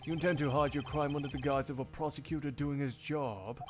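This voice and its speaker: A man plays a stern male prosecutor from a courtroom game. He speaks formally and deliberately, composed but severe and accusing, with music behind him. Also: a little high, steady tone, lightly acted. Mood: cold accusing.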